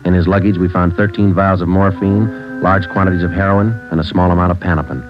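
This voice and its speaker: Male actor: brisk, deep 1940s radio detective, deadpan narration on a dull, muffled broadcast. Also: music in the background, natural voice. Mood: matter-of-fact. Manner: flat, clipped, matter-of-fact delivery. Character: terse clipped male police sergeant. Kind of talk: 1940s radio drama acting.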